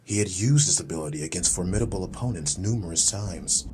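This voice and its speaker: A man narrating a fantasy audiobook in a dramatic, measured, literary storytelling style. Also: sits low, a little mellow, slightly rough. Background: music.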